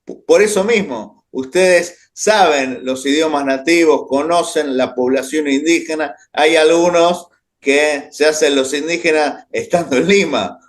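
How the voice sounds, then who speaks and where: A man's voice: on the high side, a little slow. Direct male political interviewer. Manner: direct, questioning. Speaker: interviewer, YouTube channel host.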